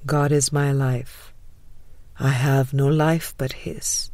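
A woman reading a spiritual audiobook in a breathy voice that trails into whispery phrase endings, with a measured, gentle, meditative delivery. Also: rumbling low, level tone, dry recording. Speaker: audiobook reader. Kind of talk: scripted spiritual audiobook narration.

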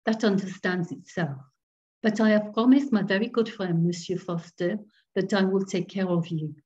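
A woman voicing a timid, kindly little elderly Breton priest in a storybook reading, speaking gently and formally. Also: high-pitched, fairly even intonation, lo-fi sound, natural voice. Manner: gentle, halting, formal phrasing.

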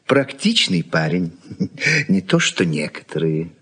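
A man's voice: deep voice, sing-song, slow, pushed and bright, breathy edge, heavily acted. An actor playing an irritable, practical middle-aged husband and father in a Soviet radio play, lecturing in a condescending way. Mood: brusque, lecturing. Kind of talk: Soviet radio drama acting.

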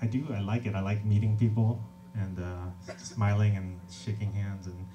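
A man in his thirties talking casually and warmly between songs at a small club gig, relaxed and self-deprecating, laughing often. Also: lowish voice, normal speed, lo-fi sound, background noise. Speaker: singer-songwriter and label owner. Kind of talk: stage banter between songs. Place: small crowded club venue, indoors. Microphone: stage vocal mic on stand, recorded from audience. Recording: distant live room recording. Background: acoustic guitar, audience.

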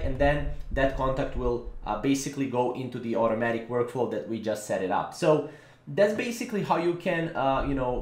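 A man hosting a casual step-by-step software tutorial, explaining in a repetitive way with frequent filler words. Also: medium pitch. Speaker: tutorial host. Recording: roomy, echoing voice-over.

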